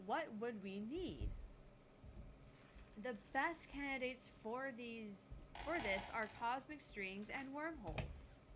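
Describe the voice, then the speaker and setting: Woman doing a calm gutsy genius teen girl explaining science, highish voice, bit of noise, visual novel. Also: takes it slowly. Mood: confident. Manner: explanatory, lecturing. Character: calm, gutsy eighteen-year-old genius girl lecturing on physics.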